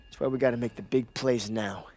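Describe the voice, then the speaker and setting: An actor playing a cocky, loyal young American infantryman with a creaky voice, chatting in a war game. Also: mid-range voice, steady tone, a little fast, darkish tone, slight background noise, lightly acted. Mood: easygoing, confident. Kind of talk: conversational game cutscene acting. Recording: music behind the dialogue.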